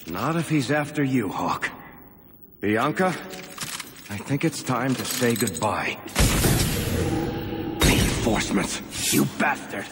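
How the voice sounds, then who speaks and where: A man's voice: medium pitch, expressive, slow pace, pauses often, in a large hall, very noisy. A man voicing a wisecracking, roguish dwarf crossbowman in a video game, with wry, colloquial delivery. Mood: coaxing, wry.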